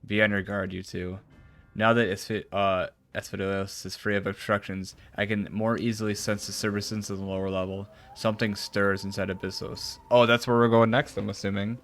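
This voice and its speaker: A man with a fairly low voice plays a game on stream. He reads formal story dialogue aloud, stumbling now and then, and comments in a casual way. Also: little pitch movement, normal speed, a bit bright.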